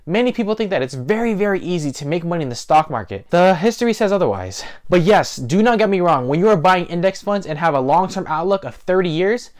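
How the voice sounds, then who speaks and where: A man's voice: high voice, animated, quick pace, few pauses, darkish tone. A man in his twenties talking casually to camera as host of an explainer video, stressing key words, recorded indoors on a lapel mic. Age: 20s. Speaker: host of an investing video channel. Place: small living room, indoors.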